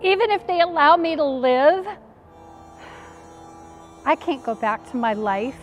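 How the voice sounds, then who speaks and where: An actress playing a grieving, frightened woman disciple in a halting, anguished dramatic monologue, full of despair and bitterness. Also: high voice, lively intonation, faint music behind. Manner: halting, self-questioning, anguished.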